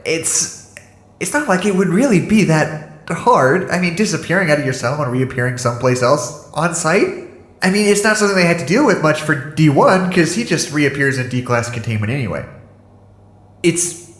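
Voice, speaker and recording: A man playing an anxious, halting captive under guard, breaking off and restarting his sentences in an audio drama. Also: fairly high pitch, fairly bright tone. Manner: hesitant, self-interrupting. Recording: echoey room.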